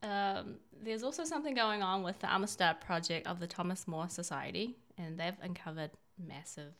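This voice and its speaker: A woman's voice: lowish voice, moderate pace, fairly bright tone. A woman from China co-hosting a conversational political talk show, speaking in an emphatic, opinionated way. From born in China.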